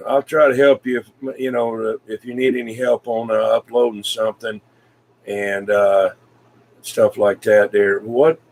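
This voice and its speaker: A man in casual CB radio chat, talking in a folksy, rambling, hesitant way with frequent pauses. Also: lowish voice, little pitch movement, a little mellow. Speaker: CB radio hobbyist, video maker.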